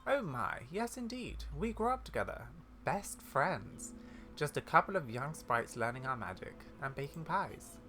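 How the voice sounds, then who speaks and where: A gamer putting on a genteel older witch, bright-toned. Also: mid-range voice, big pitch swings, a little slow, slight background noise. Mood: amused, surprised. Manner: genteel, fond, musing. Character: kindly, chatty, scholarly older witch woman. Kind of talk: video game dialogue read aloud.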